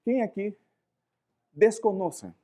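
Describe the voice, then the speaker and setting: A man's voice: high-pitched, slow pace. Portuguese-accented man lecturing animatedly. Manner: animated, searching for words, frequent rhetorical questions. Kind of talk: live lecture to students.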